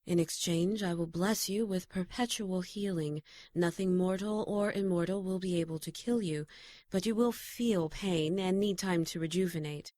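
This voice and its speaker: Low, mellow female guardian angel, played by a woman. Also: dry recording, studio quality, natural voice. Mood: solemn. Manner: solemn, measured. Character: solemn female guardian angel, calm and commanding. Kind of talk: audio drama acting.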